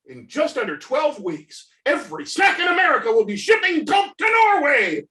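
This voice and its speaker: A man's voice: extremely high pitch, wildly swooping pitch, normal speed, exaggerated voice. Man playing melodramatic Ted, wildly swooping and distant. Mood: frantic, dramatic. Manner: dramatic, swooping from shouts to murmurs. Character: Ted, melodramatic, two-faced snack company turncoat. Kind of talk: table read of a comedy play. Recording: distant mic on video call.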